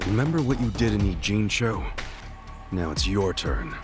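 Guy acting cocky yakuza hero, lowish voice that swings a lot, brash, game scene with music under it. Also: lively intonation, normal speed, lightly acted. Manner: brash, irreverent, informal. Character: defiant, cocky, big-hearted yakuza hero. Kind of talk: dramatic video game cutscene dubbing.

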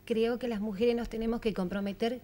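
A woman's voice: fairly high pitch, steady tone, fairly quick, warm tone. A middle-aged woman from Italy, a teacher, giving brief, composed answers in a TV studio interview. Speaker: panelist, teacher.

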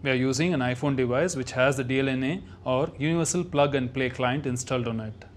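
A man's voice: medium pitch, fast talker, a little hiss or hum. A man reading scripted narration for a product demonstration.

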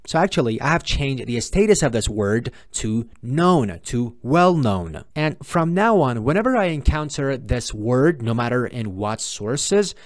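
A man, a native Persian speaker with a Persian accent, presenting a step-by-step software tutorial in an informal, explanatory and repetitive manner. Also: medium pitch, big pitch swings, a little slow, few pauses, dry recording, clean studio sound. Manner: explanatory, repetitive, posing viewers' questions and answering them. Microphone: close mic, screen-recording voice-over.

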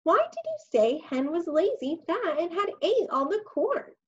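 A woman reading aloud and voicing a loud, urgent turkey in a farm storybook. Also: very high-pitched, big pitch swings, measured pace, heavily acted. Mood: alarmed. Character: alarmed barnyard turkey. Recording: dull lo-fi recording.

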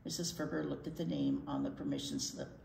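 An older woman reading a picture book aloud to children in an expressive way, with asides to young listeners. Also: a bass voice, brisk, vocal fry. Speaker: library storytime reader. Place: indoor library storytime set. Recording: roomy indoor sound.

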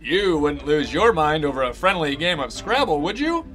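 A man's voice: on the high side, big pitch swings, moderate pace, a bit bright. A man playing a prim, mock-polite narrator of an old-time instructional film parody, scolding in a sing-song way. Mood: prim, admonishing. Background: music.

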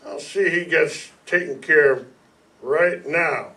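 Bright-voiced lovesick ex-con, played by an older man. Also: fairly high pitch, takes it slowly, exaggerated voice. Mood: lovesick, menacing. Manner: apologetic, folksy. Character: polite, lovesick, simple-minded male ex-convict with gun. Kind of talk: 1950s noir radio acting. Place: staged reading in a community hall. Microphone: stand microphone, heard from a far camera. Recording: distant room mic, some noise.

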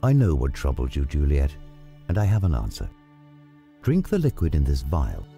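A man voicing an old friar who speaks gravely and deliberately in a story drama. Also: extremely low pitch, huge pitch swings, dark tone, pitched below the performer's own voice, exaggerated voice. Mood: reassuring, grave. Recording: music under the voice.

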